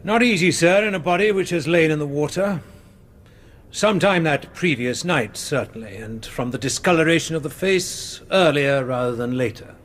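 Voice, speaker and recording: A post-mortem doctor in a period radio play. He testifies formally and precisely, in a measured manner and a slightly high voice. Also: lively intonation, a bit bright. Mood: cautious. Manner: careful, precise. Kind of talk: period courtroom radio drama.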